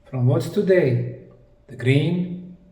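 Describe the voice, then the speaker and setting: A man with a Bosnian accent giving an explanatory presentation, speaking emphatically in short, dramatic phrases with pauses. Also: fairly high pitch, dark tone. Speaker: presenter, researcher. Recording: echoey room, distant microphone.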